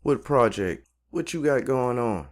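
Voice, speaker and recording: A man's voice: mid-range voice, animated, measured pace, close to an everyday voice. A man playing a confident, street-smart neighborhood guy planning a job in an audio drama, talking in laid-back slang. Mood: curious, dismissive. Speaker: storytime host and character actor.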